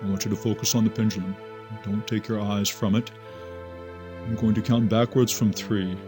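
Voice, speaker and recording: A man's voice: fairly low pitch, fairly even intonation, moderate pace, music in the background, close to an everyday voice. An actor playing a careful, commanding hypnotherapist in an audio drama, a man guiding a patient. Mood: soothing, commanding.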